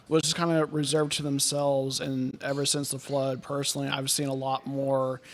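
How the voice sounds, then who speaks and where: A young man from Texas, a guest speaking about mental health services in an earnest, measured way. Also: mid-range voice, monotone.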